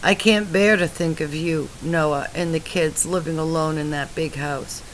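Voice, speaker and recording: A woman voicing a weak, dying husband who speaks softly and tenderly, his voice fading. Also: low voice, steady tone, a little slow, slight background noise, poor audio. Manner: soft, faltering, fading. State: weak and tired.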